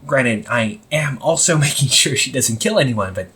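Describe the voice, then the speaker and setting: A man playing an anxious, wry young supervillain in a casual audio roleplay, talking informally with exasperated sighs and halting phrases. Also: medium pitch, lively intonation, sharp tone. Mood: wry, resigned. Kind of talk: amateur audio roleplay, casual conversational acting.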